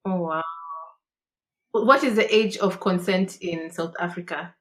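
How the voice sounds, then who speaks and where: A woman's voice: fairly low pitch, unhurried, a bit bright. A woman hosting a podcast who asks short interview questions. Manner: brief questions and listening murmurs. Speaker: podcast host. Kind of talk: casual interview.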